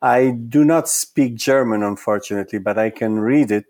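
A man from Italy whose first language is Italian, answering interview questions in a thoughtful, halting way with frequent repeated words. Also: medium pitch, darkish tone. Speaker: psychoanalyst guest.

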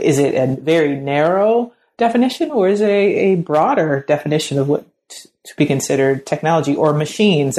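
A woman's voice: sits low, a little mellow. A woman hosting a podcast interview, asking questions with frequent laughs. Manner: laughs often, friendly questioning. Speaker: podcast host.

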